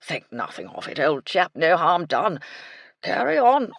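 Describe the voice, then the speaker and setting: A woman's voice: on the low side, big pitch swings, a little slow, creaky, no room sound, exaggerated voice. A woman voicing a befuddled old retired army colonel, elderly and blustering in clipped, bumbling phrases. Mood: hearty.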